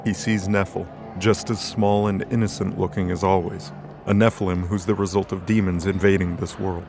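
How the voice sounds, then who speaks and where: A man's voice: low-pitched, level tone, moderate pace, gravelly. A man reading scripted, dramatic narration for an audio drama story. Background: background music.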